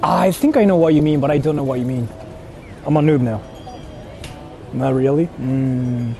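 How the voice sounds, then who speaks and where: A man's voice: mid-range voice, normal speed, creaky, warm tone. A man with as his language, chatting casually and swearing in slang as he livestreams out in the city. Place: outdoors on busy city streets. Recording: noisy outdoor street with traffic. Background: cars and urban street noise.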